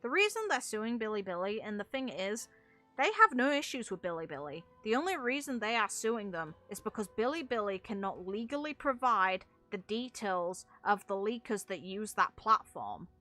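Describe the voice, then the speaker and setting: A woman's voice: mid-range voice, sing-song, normal speed, sharp tone, no room sound, faint music behind. A woman giving casual, opinionated game commentary, speaking bluntly and informally as a video creator. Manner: blunt, informal, mild swearing. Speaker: gaming video creator and streamer.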